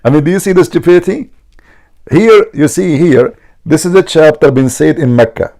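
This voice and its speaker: Man, gravelly dark voice, mocking debate host, emphatic and repetitive. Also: on the high side, moderate pace. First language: Arabic. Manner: mocking, emphatic, repeats himself. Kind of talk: argumentative religious debate.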